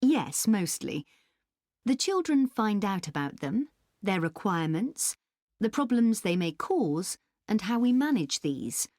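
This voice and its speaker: A woman playing a helpful country park staff member in a scripted dialogue, explaining things deliberately. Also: medium pitch, very animated, a little mellow, no room sound, studio quality. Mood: helpful, informative. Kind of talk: scripted listening-test dialogue.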